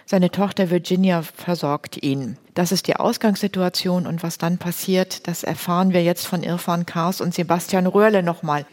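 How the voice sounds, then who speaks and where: A woman's voice: on the low side, steady tone, moderate pace, hardly any pauses, darkish tone. A woman presenting in a conversational, explanatory way, setting up a scene in informal language. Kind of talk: conversational spoken introduction to a play excerpt.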